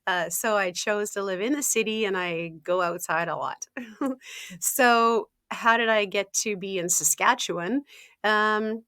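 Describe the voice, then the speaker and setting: A woman speaks as a health coach in a relaxed interview. Her voice sits at a middle pitch and sounds close and dry. She chats freely, laughs often, clears her throat now and then, and leans on "um" and "uh.". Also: measured pace, no room sound. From Ontario, Canada. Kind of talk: casual interview talk.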